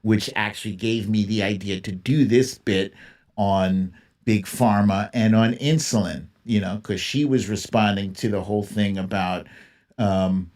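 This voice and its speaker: A man's voice: lowish voice, a little slow, a little mellow. A man hosting a livestream, giving casual, opinionated political commentary in an informal, emphatic way and repeating phrases for emphasis. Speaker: livestream host.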